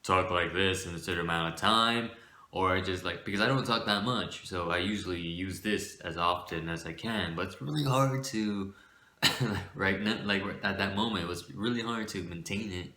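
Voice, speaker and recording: A shy young man rambling casually about his light voice, with many fillers, sometimes pushing it deeper. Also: normal speed, pushed and bright. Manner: shy, rambling, full of fillers and self-corrections; shifts between a light voice and a forced deeper one. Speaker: vlogger. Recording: echoey room.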